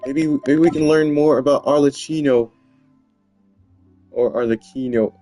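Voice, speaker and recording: A man's voice: fairly high pitch, steady tone, a little slow, dark tone, no room sound. A man reacting casually and dramatically while commentating a video game playthrough. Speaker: gamer commentator. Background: game music.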